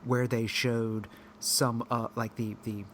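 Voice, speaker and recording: A man's voice: medium pitch, level tone, slow pace, a bit bright, slight background noise. A man co-hosting a podcast, talking casually and rambling through his points with frequent restarts as he thinks aloud. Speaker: podcast co-host.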